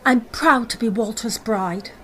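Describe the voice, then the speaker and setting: A woman's voice: fairly high pitch, a little slow, pushed and bright. An actress playing a grieving, devoted young woman who speaks earnestly and emotionally, in an old-time radio drama.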